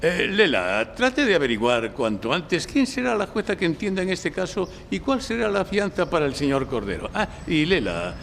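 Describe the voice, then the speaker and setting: Smart polite lawyer in an audio drama, lively intonation, noisy echoey live hall. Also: male voice, high voice, heavily acted. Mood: composed, businesslike. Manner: measured questioning, self-assured. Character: shrewd, courteous male defence lawyer, self-assured. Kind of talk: audio drama acting before a live audience. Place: library hall, recorded before an audience. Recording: echoey live hall with clear background noise.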